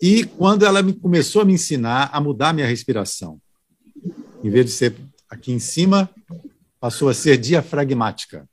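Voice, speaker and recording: A man who does voice-over work, telling stories from his career in a relaxed way during a chat with colleagues. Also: medium pitch, normal speed. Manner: relaxed, reminiscing anecdotal storytelling. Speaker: voice-over announcer. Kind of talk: casual chat among broadcasters.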